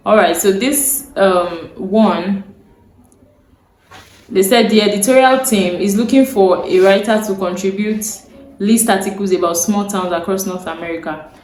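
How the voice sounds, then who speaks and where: A woman's voice: on the low side, a little mellow, slight background noise. A young Nigerian woman, a native Yoruba speaker, giving earnest career advice to camera. She repeats her key points and checks in with her viewers. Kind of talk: instructional talk to camera. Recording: roomy echo, faint ambience.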